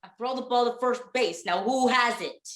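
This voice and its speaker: A woman's voice: fairly high pitch, normal speed, a bit bright, roomy sound, natural voice. A woman playing an exasperated, bewildered comic sidekick in a 1940s radio comedy routine, stammering and insistent in her questions. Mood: frustrated.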